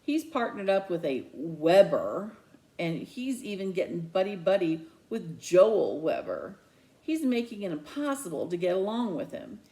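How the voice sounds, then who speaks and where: A man's voice: high voice, very animated, measured pace, warm tone, heavily acted. A woman voicing a bitter, complaining schoolboy sidekick in dialogue from a children's novel.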